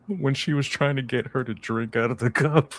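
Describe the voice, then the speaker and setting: A man in casual podcast chat, relaxed and slangy, stretching his stories out as he goes. His voice sits at an ordinary middle pitch. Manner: laid-back slangy banter, drawn-out storytelling. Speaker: podcast co-host.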